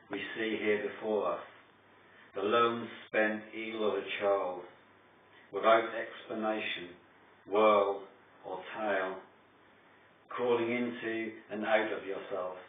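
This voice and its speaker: A man gives a solemn poetry reading in deliberate, declamatory phrases broken by dramatic pauses. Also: lowish voice. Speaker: poet reading own work. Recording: distant, very echoey, thin phone-like sound.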